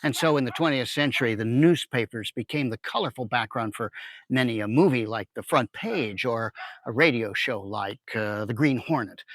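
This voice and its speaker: A man's voice: medium pitch, a bit bright, dry recording. A middle-aged or older American man hosting with a conversational, wry, folksy scripted introduction. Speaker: host.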